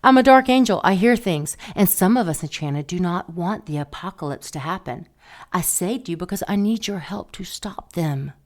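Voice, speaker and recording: Woman voicing a sly seductive dark angel, lowish voice, flirtatious, mocking, audio drama. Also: animated, normal speed, natural voice. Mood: matter-of-fact. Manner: teasing, sultry, mocking.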